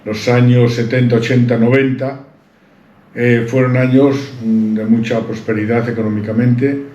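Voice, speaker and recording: A man's voice: mid-range voice, little pitch movement, a little slow, mellow. A man tells his life story in an interview, rambling proudly with many restarts. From Santander, Cantabria, Spain. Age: 70s. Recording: roomy, dull-sounding recording.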